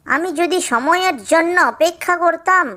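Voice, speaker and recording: A woman's voice: very high-pitched, moderate pace, bright, forceful tone. A woman voicing an impatient, complaining young male green mango in a children's moral cartoon. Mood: regretful. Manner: complaining, lamenting.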